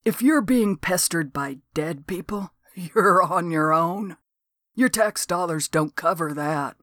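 A woman voicing a gruff, work-shy county lawman with a folksy, exasperated drawl. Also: high-pitched, animated, slow pace, fairly bright tone, no echo, studio quality, full character voice. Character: gruff, lazy small-town county lawman.

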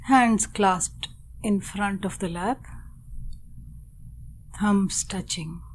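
A woman narrating a guided meditation in a measured, deliberate way, in short phrases with long pauses. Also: medium pitch, slight background noise.

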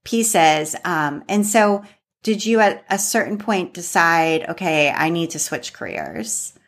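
Slightly low-voiced woman interviewing casually. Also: expressive, slow pace.

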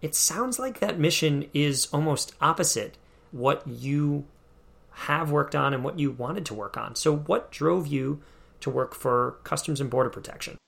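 Guy hosting an interview podcast, gravelly creaky voice, calm reflective questions. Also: a little high, a little slow.

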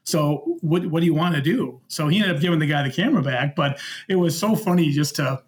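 A man's voice: fairly high pitch, brisk. A middle-aged man, a podcast guest and former security worker, telling casual anecdotes and acting out bits of dialogue.

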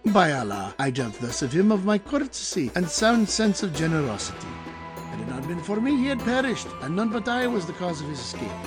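Pompous, long-winded meddler of a barber played by a man, higher-pitched male voice, declamatory storybook audio drama over clear music. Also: big pitch swings, brisk. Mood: smug, self-justifying. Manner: declamatory, archaic, self-righteous.

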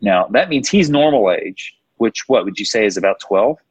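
Deep, husky man in a roomy, dull recording. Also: animated, normal speed. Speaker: podcast host. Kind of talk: casual panel discussion. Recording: roomy echo, dull lo-fi sound.

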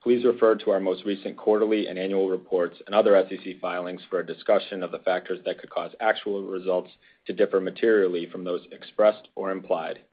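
Low, flat-voiced man reading formally. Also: fairly quick, slightly rough. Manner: formal, matter-of-fact. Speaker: investor relations officer opening an earnings call. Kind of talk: scripted disclaimer reading. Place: corporate earnings conference call. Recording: dull, band-limited conference call audio.

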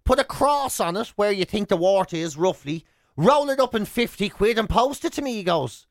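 High-pitched, animated Irish man. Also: moderate pace, dry recording, clean studio sound. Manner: animated anecdote-telling with acted-out dialogue. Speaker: radio show co-host. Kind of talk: casual comic chat and storytelling. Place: radio studio.

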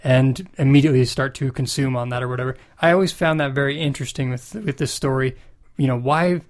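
A man's voice: medium pitch, fast. A man chatting casually as a podcast co-host, musing in an everyday conversational way. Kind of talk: casual chat.

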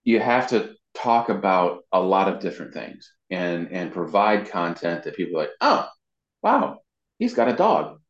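Deep-voiced man in a roomy, muffled recording. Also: very animated, normal speed. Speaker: interview guest, web marketing consultant. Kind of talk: casual explanatory talk. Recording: roomy echo, dull muffled sound.

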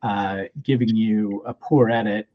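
A man's voice: lowish voice, steady tone, measured pace, phone-quality sound. A man hosting a podcast, chatting casually with his guest and agreeing along. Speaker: podcast host. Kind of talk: conversational interview.

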